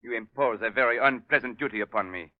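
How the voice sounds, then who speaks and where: A man's voice: medium pitch, quick pace, no echo, natural voice. A man performs a villainous middle-aged doctor of old radio drama. He is formal and exact, with cold menace under polite words. The sound is dull and very dry, like a vintage broadcast. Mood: coldly menacing. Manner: formal, precise, politely sinister. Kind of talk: 1930s radio drama acting.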